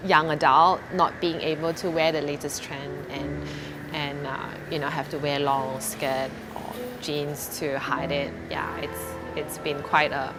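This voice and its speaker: A woman in her thirties giving a personal testimony in a halting, reflective way. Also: low voice. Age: 30s. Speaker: interviewee, eczema sufferer. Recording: music over the voice. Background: background music.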